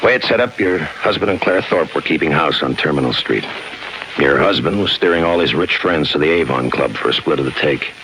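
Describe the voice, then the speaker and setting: An actor playing a terse, unsentimental American police lieutenant in a clipped, deadpan 1950s radio crime drama style. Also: male voice, rumbling low, fairly quick. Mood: accusing, cool. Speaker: lead actor and narrator. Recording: noisy 1950s radio broadcast recording.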